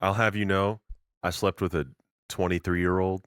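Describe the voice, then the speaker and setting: A man co-hosting a podcast who chats casually, firing off quick quips and echoing the others' lines. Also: low-pitched, little pitch movement, smooth, clean studio sound. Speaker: podcast co-host. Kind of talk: casual chat.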